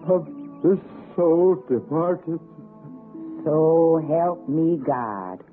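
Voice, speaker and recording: An actor playing a grave mountain ritualist, intoning solemnly in a radio suspense drama. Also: male voice, fairly high pitch, expressive, soft background music. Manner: very slow, solemn. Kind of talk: 1960s radio suspense acting. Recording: tinny, noisy 1960s radio recording.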